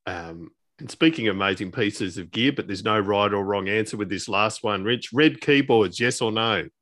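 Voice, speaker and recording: A man with a fairly low voice hosts a podcast interview. He is conversational and effusive, full of appreciation. The sound is dry, with very little room on it. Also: normal speed, a bit bright, dry recording. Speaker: podcast host. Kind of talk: casual, conversational interview hosting.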